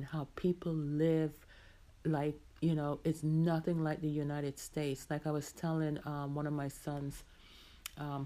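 A woman's voice: sits low, mellow. A middle-aged woman hosting a podcast as a casual, reflective personal monologue, repeating phrases for emphasis. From Jamaica. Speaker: podcast host.

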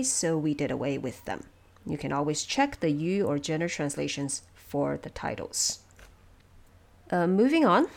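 A woman presenting explanatory production notes from a script in a measured, instructive way. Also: deep voice, a little mellow. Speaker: audio drama producer and presenter.

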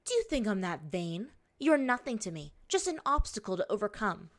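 A woman's voice: mid-range voice, sing-song, normal speed, lightly acted. A woman voicing a fierce, bitter young waterbender woman in an audiobook-style story, proud and snapping. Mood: scornful. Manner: snapping, snarling delivery.

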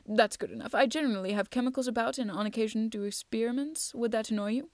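A woman voicing an eager, proud gentleman detective in a storybook reading, brisk and self-assured. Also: a little high, dry recording, close to an everyday voice. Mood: cheerful, frank.

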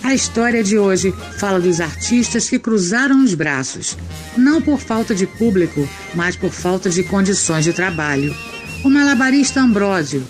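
A woman with a Brazilian accent delivering scripted, conversational narration as the host of an audio drama podcast. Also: medium pitch. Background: music.

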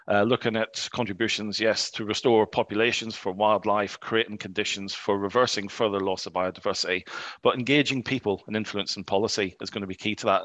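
A man on a webinar panel gives a steady, measured account in a lowish voice, with some hesitation. The sound is close and dry. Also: dry recording. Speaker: head of operations, panel guest. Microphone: video call.

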